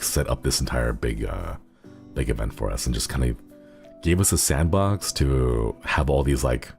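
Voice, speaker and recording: Male streamer, rock-bottom voice with heavy fry, easygoing rambling chat, laughs a lot. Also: a bass voice, darkish tone. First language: Xenokuni (in-fiction language). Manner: rambling and easygoing, giggles often. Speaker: livestreamer. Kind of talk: casual stream chat, reading viewer messages.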